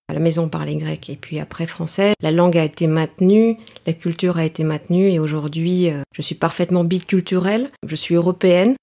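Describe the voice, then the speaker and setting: A middle-aged woman, a coach, answering interview questions in a calm, measured way with frequent hesitations. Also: fairly low pitch. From France. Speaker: coach, guest. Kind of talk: podcast interview.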